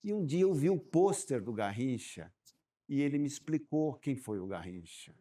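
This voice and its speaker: Man, guest, warm dark tone, animated storyteller who stumbles over repeats. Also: medium pitch, normal speed. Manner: animated storyteller, repeats words while thinking. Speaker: guest business owner. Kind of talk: casual podcast chat.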